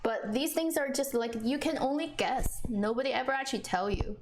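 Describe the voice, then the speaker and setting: A woman's voice: high voice, fairly quick, slight background noise. A woman whose first language is Chinese, chatting casually on a live stream and answering viewers. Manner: chatty, frequent restarts, laughs often.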